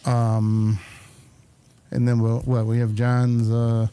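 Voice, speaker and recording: A man hosting a casual talk show, speaking in a hesitant, halting way. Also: mid-range voice, monotone, mellow, clean tone. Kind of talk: casual conversational interview.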